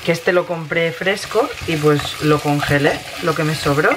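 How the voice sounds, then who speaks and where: A woman in her thirties with a Spain Spanish accent, slightly hoarse from a cold, casually narrating a recipe as she cooks in her home kitchen. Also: low-pitched, a little slow. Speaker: home cooking vlogger. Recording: noisy kitchen with sizzling pan. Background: frying and stirring sounds.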